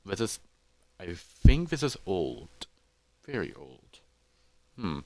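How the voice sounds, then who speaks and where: A man's voice: low-pitched, sing-song, takes it slowly, a little mellow, exaggerated voice. A man voicing a massive, curious explorer creature in a fantasy roleplay, hesitant and thoughtful as he talks to himself. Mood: musing, curious. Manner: musing, hesitant, talking to himself.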